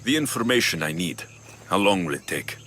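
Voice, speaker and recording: A blunt young Spartan mercenary in a video game, his voice low and even. He speaks in short, dry, matter-of-fact lines. A loud score swells behind him. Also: a little fast, soft background music, full character voice. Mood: guarded. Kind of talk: video game cutscene acting.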